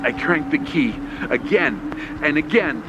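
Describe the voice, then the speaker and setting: Loud rain, storm and engine effects surround a man telling a first-person horror tale. He reads slowly in tense, clipped phrases, with very animated pitch and the dramatic style of an old radio play. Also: heavy background noise. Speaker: horror story narrator. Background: rain, thunder and vehicle sound effects.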